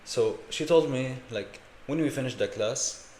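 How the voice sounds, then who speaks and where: A young man in his twenties from Lebanon with a Lebanese accent, telling his story as an interview guest in a relaxed way with fillers. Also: medium pitch, measured pace, roomy sound, slight background noise, poor audio. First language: Arabic. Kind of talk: casual interview.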